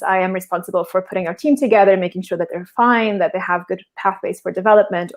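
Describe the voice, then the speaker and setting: A woman from Portugal speaks as an interview guest over a remote call, with a medium, everyday pitch. She explains her work in a friendly, casual way and often restarts her phrases.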